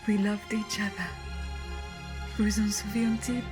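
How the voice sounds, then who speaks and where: Actress as slow, whispery, tender dying woman. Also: mid-range voice, little pitch movement, lightly acted. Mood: tender, wistful. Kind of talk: dramatic video game cutscene acting. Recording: music behind the voice.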